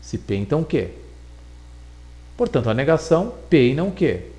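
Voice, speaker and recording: A man giving a recorded logic lesson, explaining deliberately and repeating points for emphasis. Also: fairly high pitch, sing-song, darkish tone. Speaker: teacher.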